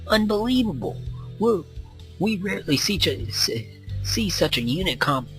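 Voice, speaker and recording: A man voicing an excitable esports commentator for a visual novel game, exclaiming in a high-strung way. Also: normal speed, soft background music. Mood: excited, amazed.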